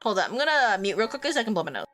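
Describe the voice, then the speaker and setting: A young woman streaming a romance game, congested from a cold, reacting excitedly between stretches of reading the game text aloud. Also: medium pitch, rapid-fire. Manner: excitable, swearing, swings between loud outbursts and quiet reading. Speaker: streamer and vtuber.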